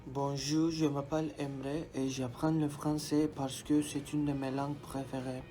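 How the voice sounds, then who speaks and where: A man's voice: medium pitch, flat delivery, unhurried. A young man, a native Turkish speaker, steadily reciting a prepared answer as a language student. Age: young adult. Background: soft background music.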